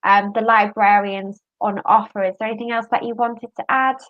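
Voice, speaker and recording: A woman's voice: medium pitch, level tone, brisk, phone-quality sound. A woman hosting a university panel discussion, friendly and easygoing, with plenty of fillers.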